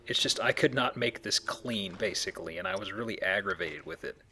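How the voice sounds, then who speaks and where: A man's voice: medium pitch, sing-song, fairly quick, creaky, pushed and bright. A man hosting a Let's Play, explaining things in a casual, conversational commentary. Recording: faint background noise.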